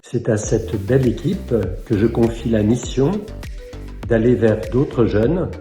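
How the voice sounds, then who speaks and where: Solemn man, dark roomy voice. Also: mid-range voice, unhurried, faint music behind. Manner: solemn, exhorting. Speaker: church leader sending the team. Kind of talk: formal exhortation.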